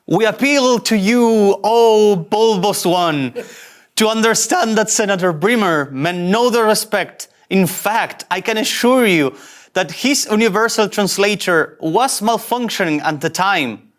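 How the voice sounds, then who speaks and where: An actor playing an insecure, excitable fake starship captain, earnest and grandiose yet easily flustered, at a sci-fi table read. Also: medium pitch, unhurried, natural voice. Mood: formal, pleading.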